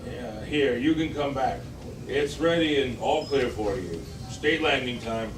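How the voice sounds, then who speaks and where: A man's voice: medium pitch, a little slow, lightly acted. A man playing an upbeat space-crew officer and announcer at an amateur table read. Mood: businesslike. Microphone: far camera across the table. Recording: distant, echoey, noisy restaurant room.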